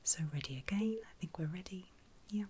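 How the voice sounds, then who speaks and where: A woman whispering in a breathy, soothing and reassuring way, as a caregiver in an ASMR medical roleplay. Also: fairly low pitch, animated, warm tone, slight background noise. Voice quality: breathy, mostly unvoiced whisper. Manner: soothing, reassuring, slow and deliberate. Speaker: ASMR performer playing a surgical nurse.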